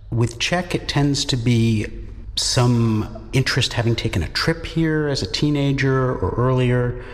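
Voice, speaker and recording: A man who teaches Czech at a university, answering interview questions in a measured, reflective way with pauses. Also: medium pitch, big-room sound. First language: English. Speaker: university lecturer in Czech studies.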